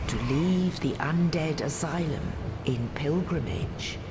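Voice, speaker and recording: An actor playing a solemn game narrator reciting an ancient legend in a deliberate, portentous way. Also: natural voice. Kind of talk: scripted dramatic cutscene narration. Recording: loud game ambience, rumbling noise.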